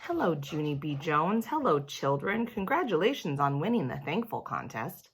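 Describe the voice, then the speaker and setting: A woman reading as a school lunch lady, cheerful, warm with children, low voice, storybook. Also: big pitch swings, normal speed, breathy edge, heavily acted. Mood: cheerful greeting.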